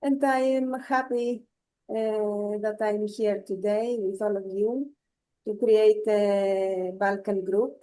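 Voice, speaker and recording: Greek woman, slow and halting, warm mellow voice. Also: medium pitch. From Greece. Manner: halting, with filler pauses. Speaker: researcher and educator. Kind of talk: online meeting introduction.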